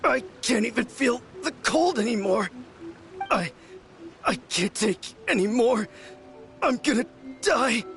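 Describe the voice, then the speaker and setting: A slow, gravelly braggart. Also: male voice, very high-pitched, expressive, a bit bright, faint music behind, heavily acted. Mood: panicked. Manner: boastful, then frantic pleading. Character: vain young man meditating in a waterfall. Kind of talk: game voice acting.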